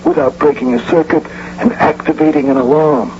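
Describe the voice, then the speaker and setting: A man's voice: a little high, steady tone, brisk, a little mellow, soft background music, lightly acted. A man acting an eager, proud explainer of an alarm system in a radio mystery, talking in short punchy phrases. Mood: proud, confiding. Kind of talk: radio mystery drama dialogue. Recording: dull, faintly noisy old radio broadcast.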